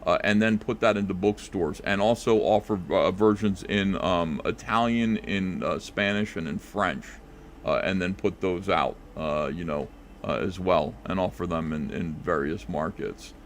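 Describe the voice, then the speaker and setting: A man's voice: low-pitched, unhurried, a little hiss or hum. A man, a comic creator, chatting casually with callers on a live show and thinking aloud with lots of fillers. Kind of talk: casual live call-in chat.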